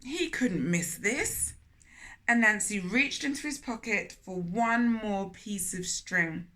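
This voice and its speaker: A woman reading a children's story aloud in an expressive manner, often turning to question young listeners directly. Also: medium pitch, slow pace. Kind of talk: animated children's read-aloud with asides to listeners.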